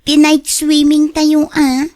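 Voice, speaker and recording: A woman's voice: high voice, completely flat delivery, slow pace, darkish tone. An actress playing a chummy young female friend in an Ilocano radio drama. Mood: chummy, coaxing.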